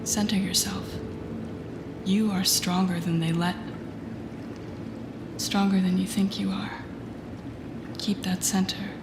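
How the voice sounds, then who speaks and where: A woman playing a telepathic villain, a guarded and intense mentor with a secretly tender side, in an intimate audio roleplay with slow, breathy, deliberate delivery. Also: mid-range voice, flat delivery, background noise. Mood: soft, coaxing. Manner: slow, deliberate, often dropping into breathy near-whispers. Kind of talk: intimate audio roleplay acting addressed to the listener. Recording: roomy echo with music behind.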